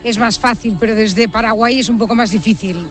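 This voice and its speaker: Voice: mid-range voice, a little fast. A person from Barcelona, Spain, organiser of a pilgrim group, giving casual explanatory answers in an outdoor interview. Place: outdoors in a meadow.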